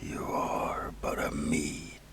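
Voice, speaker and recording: A man playing a sinister, obsessive mad asylum doctor in a whispered ASMR horror roleplay, slow and deliberate with menacing pauses. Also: a bit bright. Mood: calm menace. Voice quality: breathy whisper with gravelly creak. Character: sinister mad asylum doctor, over eighty years studying, obsessed with immortality.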